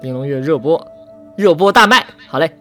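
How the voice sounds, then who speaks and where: A man's voice: a little high, lively intonation, normal speed, sharp tone. A man co-hosting a livestream in a playful, bantering, giggly casual chat. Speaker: voice actor co-hosting a fan livestream. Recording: background music.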